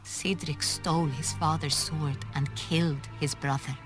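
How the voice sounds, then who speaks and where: A regal fantasy-game noblewoman with a low-pitched voice. She speaks with formal, measured eloquence and courtly poise over clearly audible music. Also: steady tone. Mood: sorrowful, reflective. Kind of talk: cinematic fantasy game dialogue. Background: prominent background music.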